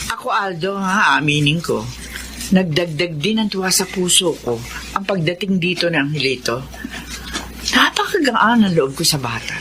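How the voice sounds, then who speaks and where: A woman playing a kindly, chatty household woman in a radio drama, expressive and full of exclamations. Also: lowish voice, moderate pace, a little hiss or hum. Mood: fond, curious.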